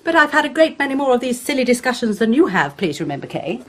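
High-voiced, superior English schoolmistress. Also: female voice, big pitch swings, quick pace, background noise. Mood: indignant. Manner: crisp, clipped, lecturing, disapproving. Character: sharp, superior, embittered English schoolmistress sister. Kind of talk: radio drama acting.

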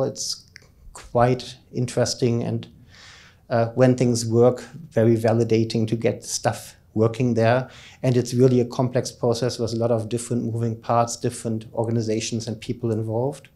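Male academic keynote speaker, middle-aged, mid-pitched and soft-edged mellow voice, informal explanatory delivery on a handheld mic. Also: flat delivery, moderate pace. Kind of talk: conference keynote talk. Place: conference lecture room, indoors, at a lectern. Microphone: handheld microphone.

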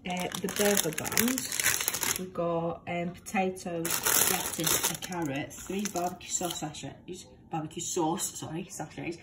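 A woman's voice: lowish voice, steady tone, unhurried. Young woman, cooking vlogger, casual rambling chat into a nearby phone, kitchen noise. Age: young adult. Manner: chatty, rambling, many fillers and self-corrections. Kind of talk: casual cook-along chat. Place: home kitchen, indoors. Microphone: phone camera propped close, moving around.

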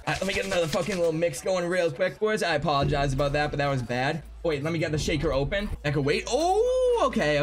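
A young man in his twenties live-streaming a game from his home setup, chatting excitably with viewers, swearing freely and going hoarse. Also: a little high. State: losing his voice. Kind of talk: live gaming stream chat. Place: home gaming room.

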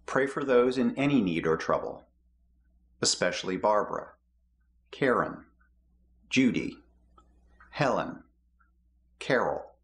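A middle-aged man reading the prayers of the people in a measured, steady way from his home office. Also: on the low side. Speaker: lay prayer leader.

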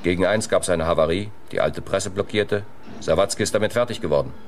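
An actor playing a brusque but loyal factory supervisor who speaks tersely in a German radio crime play. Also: male voice, sits low, brisk, slight background noise. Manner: clipped, terse.

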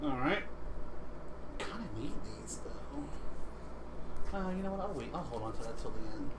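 A man's voice: medium pitch, expressive, measured pace. A man chatting casually as a player learning a board game, often asking questions and tossing in playful slangy asides. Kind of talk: casual table chat during a livestreamed board game. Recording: noticeable room noise behind voices.

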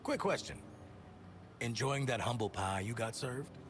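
Cocky male antihero played by a man for a game: taunting, slangy, lively pitch, clear ambient noise. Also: a little slow, close to an everyday voice. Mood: mocking. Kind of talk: video game voice acting.

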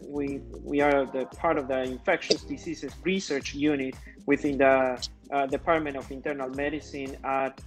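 A man's voice: medium pitch, poor audio. Guy doctor w peruvian spanish accent on a video call, hesitant stop-start explaining, music behind. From Peru. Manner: halting, hesitant phrasing. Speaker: interview guest, infectious diseases doctor. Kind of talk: explanatory interview answers. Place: indoor room, video call.

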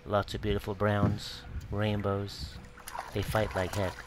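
A man with a fairly low voice narrates a fishing vlog. He speaks in brief, clipped, informal phrases that lean promotional. Loud outdoor water and boat noise runs through the recording. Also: completely flat delivery, a little slow.

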